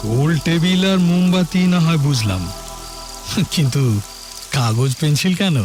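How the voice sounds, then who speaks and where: An actor playing a haunted, obsessive well-off man in a Bengali audio drama, halting and repeating his words dramatically. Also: a little high, lively intonation, darkish tone, soft background music, natural voice. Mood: mocking, imperious.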